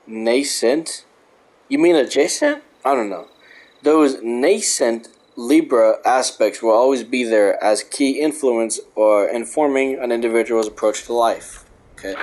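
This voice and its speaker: Young adult man, mid-range voice going hoarse, reads aloud then rants off-script with plenty of swearing. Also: mid-range voice, a little mellow. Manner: rambling, profane, ranting. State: losing his voice. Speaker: host. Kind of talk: reading a book aloud with casual commentary.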